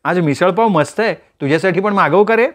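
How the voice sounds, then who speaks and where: A man voicing a chatty, carefree young woman who teases her friend in quick banter in a read-aloud story. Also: high voice, pitched above the performer's own voice, lightly acted. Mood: teasing. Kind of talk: storytelling with voiced characters.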